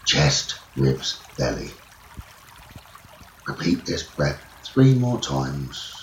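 A man leading a guided sleep meditation as a teacher, speaking in soothing, measured short phrases. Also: a bass voice, very animated. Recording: roomy echo, running water noise behind. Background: trickling stream water nature sounds.

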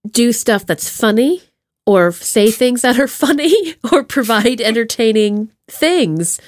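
Woman podcast host chatting, really animated, kinda high voice, dry close sound. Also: unhurried, dry recording. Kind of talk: casual interview chat.

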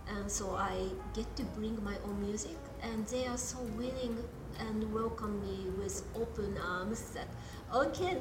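A woman from Japan, a jazz pianist, chatting in an interview, eager and full of "um" and "like". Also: medium pitch. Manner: enthusiastic, lots of "um" and "like". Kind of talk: casual interview chat. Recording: roomy sound with music behind.

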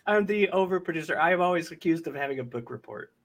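A man's voice: on the high side, animated, a little fast. A man speaking as a podcast guest in casual chat, playful and wisecracking. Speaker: podcast guest, audio drama producer.

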